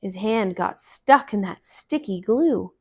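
A woman in her thirties narrating a children's story aloud in an expressive, deliberate way. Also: mid-range voice, background noise. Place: small living room, on a couch.

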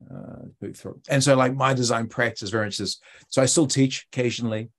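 A man's voice: mid-range voice, fairly quick, fairly bright tone. A man with a New Zealand accent giving an informal talk as a guest speaker over a video call, with hesitant, conversational phrasing. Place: indoor room on a video call.

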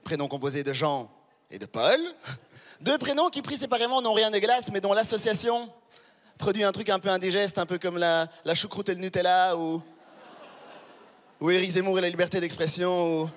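A man's voice: high voice, fairly bright tone, long reverb tail. A man in his thirties, born in Rwanda, doing a stand-up routine with a handheld mic on a big theatre stage, punchy and chatty, teasing the crowd. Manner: punchy, conversational, teasing the audience. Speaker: stand-up comedian. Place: large theatre stage before a live audience. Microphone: handheld microphone.

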